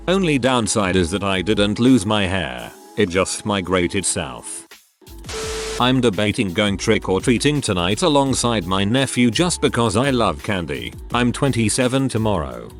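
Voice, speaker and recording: A man narrating online stories, reading each post aloud in a scripted, expressive way. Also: lowish voice.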